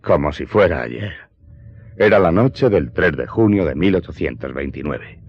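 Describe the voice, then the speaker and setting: A man's voice: deep voice, expressive, moderate pace, no room sound, highs cut off. A man playing a wary smuggler who recounts his past as dramatic narration in a radio play. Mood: recalling, measured.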